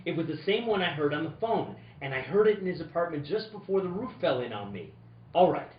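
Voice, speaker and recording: A man's voice: fairly high pitch, sing-song, brisk. A man playing an impatient, dogged insurance investigator, terse and wry, in an old-time radio detective play. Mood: wry narrating. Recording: roomy, distant, dull, faintly noisy.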